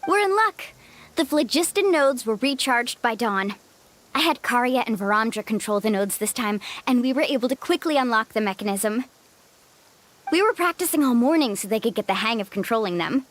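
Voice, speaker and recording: A woman's voice: a little high, expressive, moderate pace, close to an everyday voice. A woman playing a confident, friendly young adventure guide in a game, encouraging and earnest. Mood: upbeat. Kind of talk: animated game voice acting.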